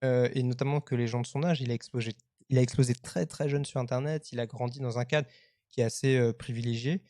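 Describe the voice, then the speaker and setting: A man's voice: mid-range voice, fairly even intonation, dark tone, no room sound. Hesitant man in his thirties, dry close sound. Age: 30s. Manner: hesitant, halting, conversational. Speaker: live stream host. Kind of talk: live commentary on web culture, casual press review.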